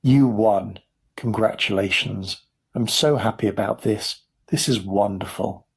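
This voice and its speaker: A man voicing an excitable, eccentric chocolate inventor in a storybook, theatrical and cheerful, full of exclamation. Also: on the low side, a little slow, gravelly, husky, full character voice. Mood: delighted.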